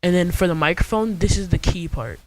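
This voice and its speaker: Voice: medium pitch, lively intonation, a little fast, poor audio. A young adult host giving an informal, step-by-step screen tutorial in a casual way. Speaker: video host.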